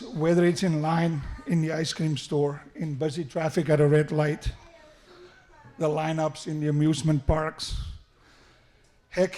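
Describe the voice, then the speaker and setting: A man's voice: a little high, darkish tone. South African father giving a wedding toast. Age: middle-aged. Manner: joking, self-deprecating, with frequent restarts. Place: wedding reception hall. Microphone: handheld microphone through the sound system.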